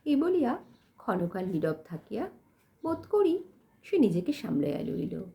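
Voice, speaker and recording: A woman reading a literary story as an audiobook narrator, in an expressive, dramatic manner. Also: mid-range voice, moderate pace, vocal fry.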